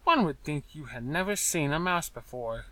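A man voicing a brisk, impatient little male mouse in a storybook, with a small, clear, high-pitched voice and fussy, formal diction. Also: expressive, a bit bright, no room sound, rough recording.

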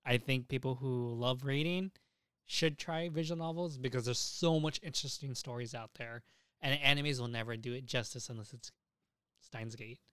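A man's voice: medium pitch, moderate pace, fairly bright tone, clear, resonant tone, no room sound. A man hosting a casual podcast, talking enthusiastically and swearing freely as he goes. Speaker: podcast host.

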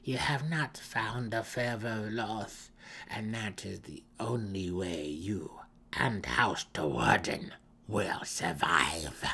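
A man voicing a withered, commanding old dark elf matriarch in a fantasy audiobook, raspy and gravelly, with a slow, imperious, cold and wrathful delivery. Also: lowish voice, heavily acted. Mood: stern, menacing.

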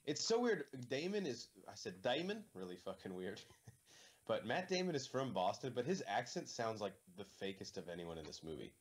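A man's voice: on the low side, big pitch swings, moderate pace, breathy edge. A man co-hosting a casual movie commentary, joking, swearing, and slipping into mock voices. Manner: jokey, profane, acts out mock voices. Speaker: podcast co-host.

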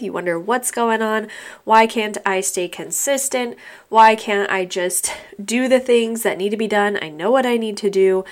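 A young woman hosts her own podcast through a stuffy, congested nose. She talks in a loose, rambling way, full of ums and soft laughs, and her pitch sits in the middle for a woman. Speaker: podcast host. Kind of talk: casual solo podcast talk.

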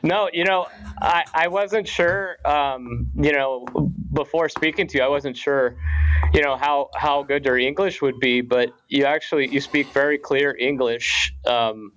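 American male host, mid-pitched, asks hesitant questions with a lot of fillers. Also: a little slow, a bit bright, rough recording. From United States. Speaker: podcast host. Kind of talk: casual interview chat.

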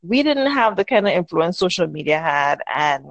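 A woman in her thirties from the Caribbean is a guest on a casual podcast. She speaks in a thoughtful, measured way and restarts her sentences often. The recording is dry and close. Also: on the low side, sing-song, dry recording, muffled recording. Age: 30s. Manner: thoughtful, measured, many restarts while finding words. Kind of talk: casual interview chat.

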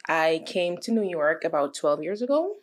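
A woman's voice: low voice, big pitch swings, moderate pace. A young woman from Guyana chatting casually on a podcast, laughing easily as she talks. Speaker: podcast co-host.

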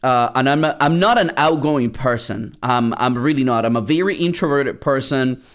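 A man's voice: medium pitch, normal speed. A young man from Peru hosting a podcast, telling a casual story with lots of restarts and fillers. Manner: rambling, many restarts and fillers. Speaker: podcast host.

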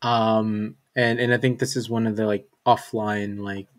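A man hosting a casual sports podcast, talking in a relaxed way with lots of fillers and repeated words. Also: fairly low pitch, measured pace. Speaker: podcast host.

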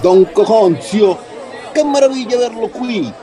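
A man's voice: sits high, animated, measured pace. A man playing an eager, effusive Italian son-in-law in a radio soap opera, with an Italian accent and theatrical, language-mixing speech. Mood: effusive, defensive. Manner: mixes Italian words into Spanish, theatrical. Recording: music and crowd behind.

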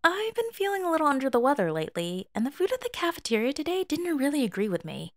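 Woman as a bright, high-pitched teenage boy. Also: big pitch swings, fast talker. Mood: sheepish, rambling. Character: cheerful, flustered male teen student, boyish and teasing.

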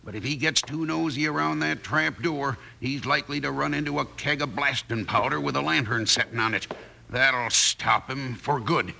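An actor playing a crooked, outwardly folksy frontier storekeeper with an American Western accent in an old-time radio western. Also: male voice, mid-range voice, moderate pace, gravelly, bright, forceful tone, full character voice. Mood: menacing, urgent. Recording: faintly noisy old radio recording.

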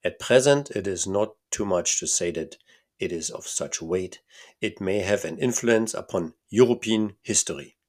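A man voicing a huge, masked male nobleman with a deep, harsh voice and a German accent, speaking formally and emphatically. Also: animated, moderate pace, a little mellow. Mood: imperious.

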